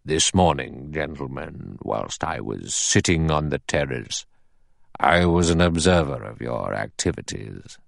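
Super deep slow old judge, precise and in charge, read by a man. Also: animated, creaky, no echo, studio quality, heavily acted. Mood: cool, deliberate. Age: older. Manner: measured, precise, quietly authoritative. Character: elderly male judge, cool and commanding.

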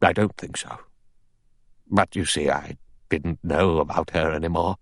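A man voicing a guilt-haunted, lonely old general who muses aloud in a husky, halting, distracted way. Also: very deep voice, fairly even intonation, no echo, studio quality, exaggerated voice. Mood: lost, grieving. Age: older.

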